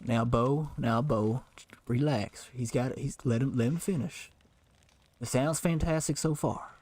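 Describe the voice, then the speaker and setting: A man's voice: mid-range voice, measured pace, a little mellow, no echo, close to an everyday voice. A man roleplaying a polite, eager Old West hired outlaw, deferential and folksy, often repeating himself. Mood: approving. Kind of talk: improvised online Western roleplay.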